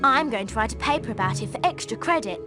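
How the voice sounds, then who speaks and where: Woman acting an eager, high-voiced schoolgirl witch. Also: expressive, fast, natural voice. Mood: eager, earnest. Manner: earnest, precise. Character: bright, studious, eager young schoolgirl witch. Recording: music behind the voice. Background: music.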